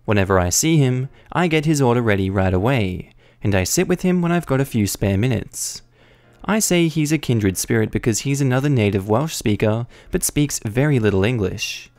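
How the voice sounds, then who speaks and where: A man's voice: medium pitch, animated, moderate pace, a little mellow, no echo. A man narrating online forum stories aloud, reading evenly with the odd wry aside. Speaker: story narrator.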